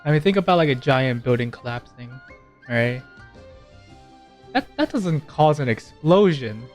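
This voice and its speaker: A man's voice: mid-range voice, sing-song, unhurried. A young man giving casual game commentary, thinking aloud in rambling asides. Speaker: gaming streamer. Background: game music.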